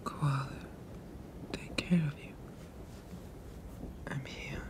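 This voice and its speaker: A man voicing a protective, possessive sea monster father in a hushed, soothing ASMR roleplay with formal phrasing. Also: medium pitch, fairly even intonation, slow pace, lightly acted.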